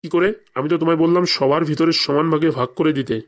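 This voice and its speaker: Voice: medium pitch, fairly even intonation, racing, natural voice. A performer voicing a stern, sarcastic male headmaster in a comedy cartoon. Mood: exasperated, sarcastic. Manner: sarcastic, scolding interrogator.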